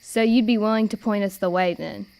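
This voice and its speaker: A clear, smooth voice for a plucky, determined girl, played by a young woman. She is plain-spoken and folksy, in the style of an amateur radio play. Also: mid-range voice, fairly even intonation, fairly quick, darkish tone, lightly acted, low-quality recording. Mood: resolute. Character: plucky, determined red-haired girl, older sister.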